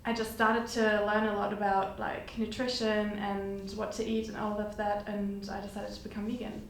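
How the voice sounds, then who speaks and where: Podcast guest: woman, early twenties, from Germany, flat-toned chatter, echoey room. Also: mid-range voice, moderate pace. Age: 20s. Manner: chatty, many fillers. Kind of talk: casual chat. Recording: echoey room with faint noise.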